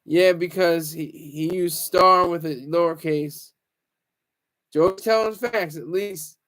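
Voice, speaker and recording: A man's voice: on the high side, fairly even intonation, slow, a bit bright. A man hosting a casual livestream, rambling, teasing viewers and stumbling over restarts. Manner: stammers and restarts often. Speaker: livestream host.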